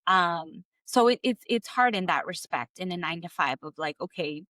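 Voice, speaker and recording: A woman from the United States who grew up speaking Spanish, a guest telling her career story in a relaxed interview, full of fillers and restarts. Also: fairly low pitch, moderate pace, dry recording. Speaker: guest, clarity coach. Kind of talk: conversational interview.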